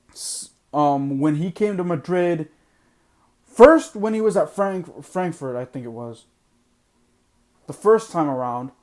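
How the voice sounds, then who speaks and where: A man with a high voice hosts a sports podcast and rants bluntly, hammering his points home by repeating them. His voice is going hoarse because he is losing it. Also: a little mellow. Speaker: podcast host. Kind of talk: opinionated sports podcast monologue.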